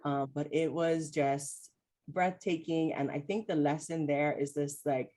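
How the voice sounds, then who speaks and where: A woman's voice: sits low, steady tone, measured pace, warm tone. A woman from Hawaii, hosting a casual chat with a friend and talking in a reflective way with many "like" and "you know" fillers. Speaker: host, mental health coach.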